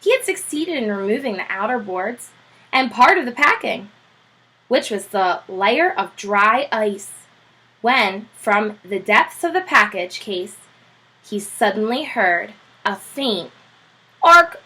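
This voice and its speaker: A woman's voice: mid-range voice, slow, breathy edge, rough recording. A woman teacher reading a children's chapter book aloud, telling it expressively. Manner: expressive, animated storytelling.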